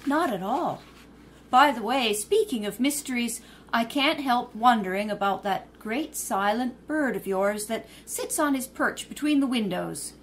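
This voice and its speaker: An actress playing a poised young woman sleuth in a 1930s-style radio drama, polite and quick-witted, then indignant. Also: fairly high pitch, lively intonation, a little hiss or hum. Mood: charming.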